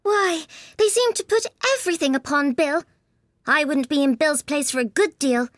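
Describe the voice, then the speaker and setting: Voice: sits high, moderate pace, fairly bright tone, dry recording, clean studio sound, voice pushed up. A narrator voicing a curious, spirited young girl in a storybook, musing primly to herself. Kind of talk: storybook character voice.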